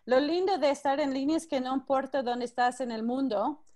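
High-voiced woman teaching on a video call. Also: fairly even intonation, a little fast, darkish tone. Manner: friendly, directive, calls participants by name. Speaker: teacher leading an online class. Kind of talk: live online class, guiding participants.

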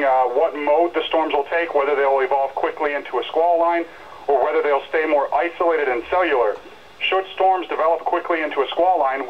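A man giving a live severe weather briefing as a meteorologist, earnest and explanatory, heard over a radio. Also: a little high. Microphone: radio speaker re-recorded by a camera in a room. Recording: muffled weather radio broadcast played through speaker.

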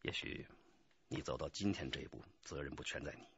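An actor playing a stern, earnest young police detective lecturing a suspect in a radio drama. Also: male voice, very deep voice, brisk, a bit bright, slightly rough, full character voice. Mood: grave, sympathetic. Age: 20s. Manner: emphatic, lecturing. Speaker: police interrogator. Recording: dull, muffled lo-fi audio.

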